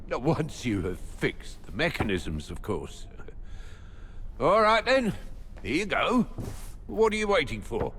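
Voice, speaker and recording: Game role: fussy, overworked academy rector, pompous and formal, very animated intonation, reverberant hall with background noise. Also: male voice, on the high side, takes it slowly, pauses often, heavily acted. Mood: pompous, helpful. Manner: pompous, hesitant stammering, old-fashioned formal phrasing. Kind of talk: video game dialogue acting.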